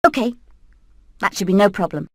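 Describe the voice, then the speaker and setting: A British woman playing a determined, plucky hen in an animated video game, speaking in a brisk, earnest, rallying way. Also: medium pitch, dry recording, clean studio sound. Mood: brisk, planning. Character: determined, plucky female hen leading an escape plan.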